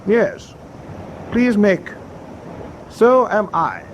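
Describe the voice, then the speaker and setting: A stubborn warship captain, acted by a man in a wartime radio drama. He gives clipped, decisive replies in a slightly high, very mobile voice over clear ship rumble. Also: expressive, drawn-out words, lo-fi sound, full character voice. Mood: dryly defiant.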